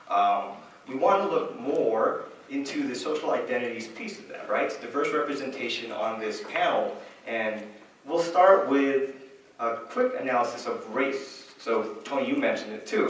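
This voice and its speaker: A man's voice: medium pitch, fairly bright tone. A man moderating a panel at a live event, speaking loosely with lots of fillers and tag questions. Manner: loose, filler-heavy, says "right?". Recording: echoey hall, far from the mic, faint noise.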